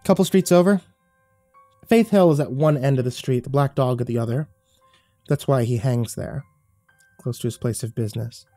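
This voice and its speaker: A man voicing a coarse, greedy young woman of the streets in an audiobook, sharp-tongued and brash. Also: mid-range voice, normal speed, pauses often, no echo, soft background music, pitched above the performer's own voice, natural voice. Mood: knowing. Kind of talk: audiobook character voice.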